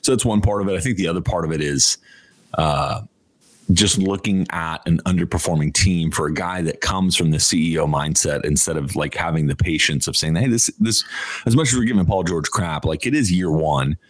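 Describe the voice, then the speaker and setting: A man's voice: sits low, brisk, no room sound. A man co-hosting a sports podcast, talking casually with banter and commentary. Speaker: podcast co-host.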